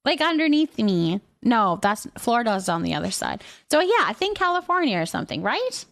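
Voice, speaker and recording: A woman with a high voice that swings up and down as she talks. She streams live, rambling along casually and chattily as she answers her viewers, with a giggly manner. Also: sing-song, a little fast, vocal fry, fairly bright tone. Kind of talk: casual livestream chat, reading and answering viewers.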